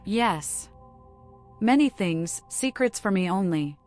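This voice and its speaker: A woman's voice: mid-range voice, animated, takes it slowly, a little mellow, soft background music. A woman playing a mad young vampire who talks in eerie, playful riddles in a video game. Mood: sly, cryptic. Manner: speaks in cryptic riddles.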